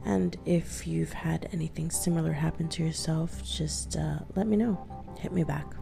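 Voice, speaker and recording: A woman hosting her own podcast, raspy from having just woken up, telling a story in a soft, often half-whispered way with many hesitations. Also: low-pitched, fairly even intonation, normal speed, over music. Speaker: podcast host. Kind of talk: casual solo storytelling.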